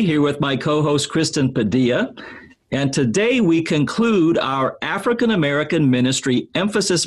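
Husky-voiced man hosting a podcast. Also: on the high side. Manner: measured, formal reading. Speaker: podcast host. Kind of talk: scripted show introduction.

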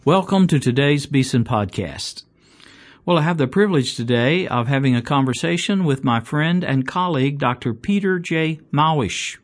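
A man's voice: on the high side, lively intonation, normal speed. Courteous man hosting an interview. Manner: courteous, leading questions. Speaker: podcast host.

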